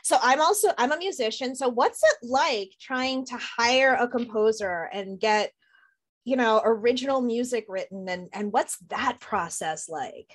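A middle-aged woman hosts an informal interview over a video call. Her voice sits somewhat high. She talks casually and is a little self-deprecating. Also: measured pace. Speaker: interviewer, host. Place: small room at home.

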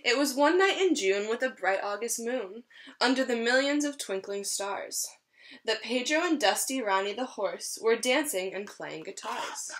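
A woman's voice: on the high side, animated, normal speed, a bit bright, a little hiss or hum. A woman in her twenties, a teacher reading a picture book aloud to young children at home. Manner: clear storytelling for young children. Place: small room at home, indoors.

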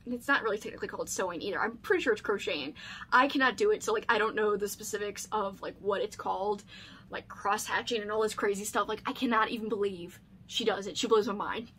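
A woman in her thirties chatting casually and gushing with excitement, as a video host in a home room. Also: medium pitch, slight background noise. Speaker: book channel host. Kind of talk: casual chat, unboxing vlog. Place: small home room lined with books.

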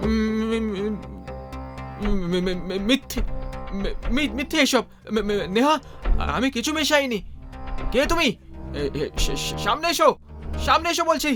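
A man voicing an obsessive, scheming young suitor in an animated horror story, with an intense manner. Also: extremely high pitch, unhurried, pauses often, over music. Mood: panicked.

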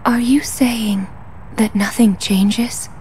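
An actress playing a serene, regal goddess in a video game cutscene, speaking softly and formally with gentle resolve. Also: female voice, a little high, steady tone, slow pace, slight background noise. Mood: pensive. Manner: soft, formal, stately.

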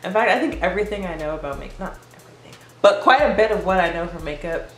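A young woman in her twenties with a deep, mumbling voice, chatting casually while doing her makeup. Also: animated, quick pace, slight background noise. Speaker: guest vlogger. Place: small indoor room. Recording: roomy sound with music behind.

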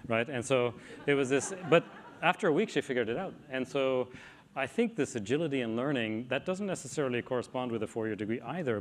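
A man speaking as a panelist on a stage through a lapel mic, telling a story conversationally. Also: mid-range voice. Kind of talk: panel discussion. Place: stage in front of a backdrop.

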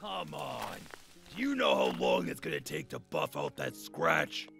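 Man playing a brash armoured warrior, very gravelly, bright forceful edge, blustery, fantasy audio drama. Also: very deep voice, huge pitch swings, measured pace, soft background music, heavily acted. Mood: teasing, puzzled. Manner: blustery, colloquial, exclaiming.

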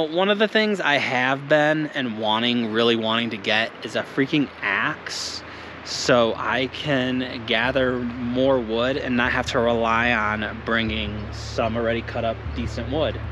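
A young man talking casually to the camera at a forest campsite, rambling and relaxed while he gathers and cuts wood. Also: mid-range voice, background noise. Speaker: camping vlogger. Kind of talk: casual self-filmed vlog. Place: outdoors in a pine forest campsite. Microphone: camera held close or set on the ground.

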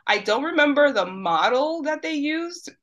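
A woman's voice: high voice, lively intonation, normal speed, a bit bright. A woman from New York City whose first language is Spanish, a teacher telling her story in a casual, thoughtful interview. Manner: thoughtful, with many fillers and restarts. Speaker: guest, teacher.

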